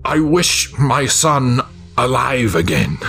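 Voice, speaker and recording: A man playing a fearful but tender old husband in a story reading, with a shaky, raspy voice and a faltering, stammering manner. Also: sits high, takes it slowly, a little mellow, soft background music, pitched above the performer's own voice. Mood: faltering, afraid. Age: older.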